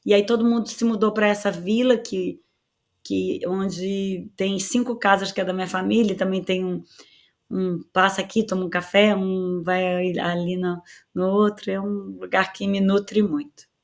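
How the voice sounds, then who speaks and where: A woman with a softened Carioca accent, telling stories in a lively way with many restarts as the guest in an interview. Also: medium pitch, normal speed, creaky. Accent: Carioca Brazilian Portuguese, softened. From Rio de Janeiro, Brazil. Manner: animated storyteller, many restarts and laughs. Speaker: guest, actress. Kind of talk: relaxed interview conversation.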